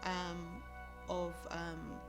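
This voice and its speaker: Chatty woman, low voice. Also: little pitch movement, drawn-out words, gravelly, over music. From South London. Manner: chatty, many fillers like "like" and "um". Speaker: documentary interviewee. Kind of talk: personal testimony.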